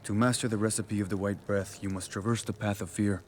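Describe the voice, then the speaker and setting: Man as grave, deep-voiced rebel warrior. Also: fairly even intonation, a little mellow, close to an everyday voice. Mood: grave, regretful. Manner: formal, deliberate, few contractions. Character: grave, proud male rebel warrior leader. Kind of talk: dramatic video game cutscene acting. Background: faint background music.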